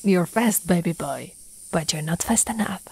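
A young woman playing an affectionate, teasing lamia girlfriend in an ASMR roleplay, soft-spoken and often whispering, with a breathy, coaxing delivery close to the mic. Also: on the low side, expressive, dry recording, exaggerated voice. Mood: playful, teasing. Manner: slow, breathy, coaxing delivery with pet names. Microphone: close, dry microphone.

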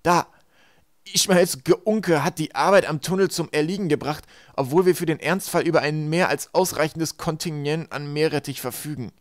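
A man's voice: a little high, moderate pace, no room sound, studio quality, voice pushed up, close to an everyday voice. A man voicing an anxious, studious boy in an audiobook, urgent and strained. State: rough, hoarse from poison.

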